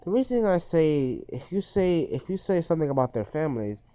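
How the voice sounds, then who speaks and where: Male video host, dark mellow tone, earnest informal advice, dull lo-fi phone sound. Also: fairly high pitch, sing-song, brisk, smooth. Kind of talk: informal list-style advice monologue.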